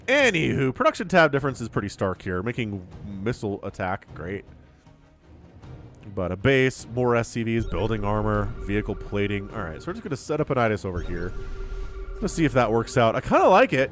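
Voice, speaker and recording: A man giving casual, chatty live commentary on a video game as a shoutcaster, a bit sniffly with a cold. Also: mid-range voice, big pitch swings, fairly quick. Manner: chatty, informal, slangy asides. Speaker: esports shoutcaster. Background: music.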